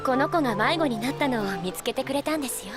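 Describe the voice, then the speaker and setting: High, polite young anime wife. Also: female voice, animated, very fast. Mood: cheerful, polite. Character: friendly young wife of a fruit vendor. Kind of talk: Japanese anime acting. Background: clear background music.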